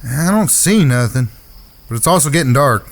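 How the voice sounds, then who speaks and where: A man playing an excitable, stubborn frontier outlaw in an audio drama, talking in a folksy drawl. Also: mid-range voice, normal speed, lightly acted. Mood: tense, searching. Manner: folksy, ungrammatical cowboy drawl. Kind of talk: western audio drama acting.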